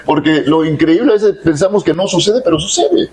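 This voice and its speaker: A man's voice: on the high side, expressive, a little fast, darkish tone. Playful peruvian guy hosting an interview, chatty, lots of uh-huhs. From Peru. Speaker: interviewer, digital creator.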